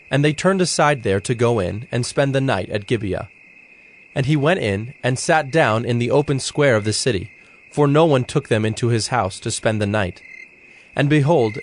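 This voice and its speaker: A man narrating a dramatized scripture in a measured, solemn, scripted style. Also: medium pitch. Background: background music.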